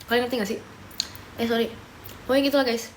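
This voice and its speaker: Young woman streamer, relaxed and chatty, drifts between languages while snacking, pitched a bit high. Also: fast, noisy background. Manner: chatty, often switching into English mid-sentence; talks while eating and sipping a drink. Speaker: live streamer. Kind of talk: casual livestream chat answering viewer comments.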